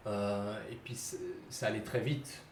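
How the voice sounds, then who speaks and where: A man's voice: fairly low pitch, flat delivery, a little slow. A man in his thirties from Switzerland, a native Portuguese speaker, talking casually as a podcast guest, hesitant with many fillers and restarts. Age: 30s. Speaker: guest, schoolteacher. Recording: echoey room, distant mic, noisy.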